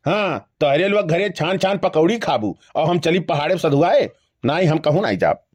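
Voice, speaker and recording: A man acts out an older cartoon villager with a distinctly high voice and an Awadhi accent. He grumbles and teases like a put-upon village comic. The recording is dry, without room sound. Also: expressive, moderate pace, darkish tone, no room sound. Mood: grumbling. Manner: teasing, grumbling. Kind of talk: Awadhi cartoon comedy voice acting.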